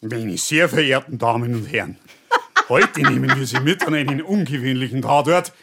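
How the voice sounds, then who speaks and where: A man's voice: mid-range voice, normal speed, vocal fry, a bit bright, slight background noise. A man playing a pompous, hammy narrator in an exaggerated Bavarian folk comedy. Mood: pompous, amused. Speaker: actor at a radio play table read. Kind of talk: exaggerated folk-theatre announcer.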